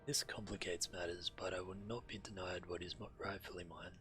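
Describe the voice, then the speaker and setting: A deep, bright-edged male dragon voice, proud and formal. He pleads his claim with self-important conviction, in video game dialogue with music underneath. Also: fairly even intonation, fairly quick. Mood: indignant. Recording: music behind the voice.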